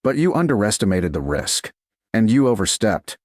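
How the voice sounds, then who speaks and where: A man voicing a steady, controlled, protective medieval duke in an audiobook, calm, terse and measured. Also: lowish voice, no room sound. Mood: calm, firm. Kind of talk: audiobook character dialogue.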